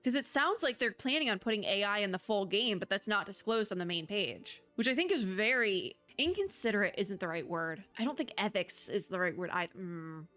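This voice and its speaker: A woman's voice: mid-range voice, animated, a little fast, few pauses, fairly bright tone, soft background music. A woman talking casually and off the cuff as a video game host, in a chatty, rambling, informal way. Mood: annoyed. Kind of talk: casual unscripted game commentary.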